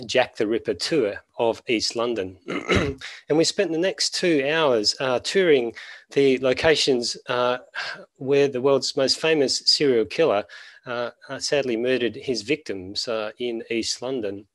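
A man's voice: medium pitch, measured pace, dry recording. A man in his forties giving a conference keynote over a video call on a headset mic, conversational with many fillers and restarts. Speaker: keynote speaker, veterinary professor. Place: small office at home.

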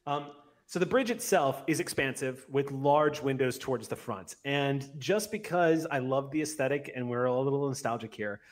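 A man in his forties running a tabletop roleplay session as game master, narrating descriptively with frequent pauses. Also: mid-range voice. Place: indoor room at home, on a video call. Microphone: large microphone up close on a boom.

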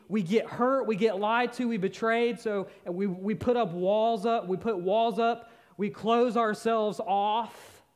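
A young man preaching a sermon on a church stage, earnest, shifting between loud emphasis and soft, near-whispered moments. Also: sits high. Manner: paces the stage gesturing with both hands. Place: church stage in a large hall.

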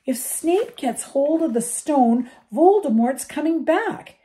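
A woman's voice: medium pitch, moderate pace, dark tone, natural voice. Woman voicing an urgent young schoolboy. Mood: defiant. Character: determined young schoolboy hero.

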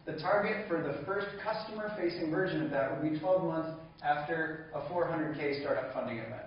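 A man's voice: on the high side, a little fast, a little hiss or hum. A man giving an enthusiastic conference keynote, laughing along with the audience at times. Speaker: keynote speaker. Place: large conference hall. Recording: echoey hall, lo-fi, from a distance.

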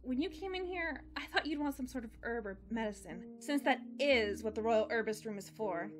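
Woman doing perky teasing pony girl herbalist, high and really bouncy pitch, cartoon drama. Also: brisk, lightly acted. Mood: supportive. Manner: animated, teasing. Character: perky, excitable young female pony herbalist, loyal best friend. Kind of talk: animated fan drama acting. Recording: music under the voice.

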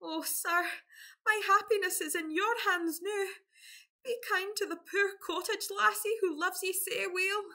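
A woman playing a tearful, devoted young Lowland Scottish cottage girl, plaintive and melodramatic, in a radio play. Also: fairly even intonation, a little slow, sharp tone, full character voice. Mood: pleading, tearful. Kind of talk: farcical radio play acting.

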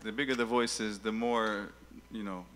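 A man's voice: on the low side, steady tone, fairly bright tone, a little hiss or hum. A man hosting a music show from behind a keyboard on a stage, eagerly chiming in with questions into a mic on a stand. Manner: eager, quick to chime in. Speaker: show host and keyboard player. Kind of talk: casual interview on stage.

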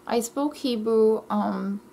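Young woman vlogging, slightly gravelly. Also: a little high, takes it slowly, mellow, a little hiss or hum. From Israel. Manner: chatty, reads notes with frequent ums and self-corrections. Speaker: language vlogger. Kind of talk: casual educational vlog. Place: small bedroom, indoors. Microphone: laptop webcam mic.

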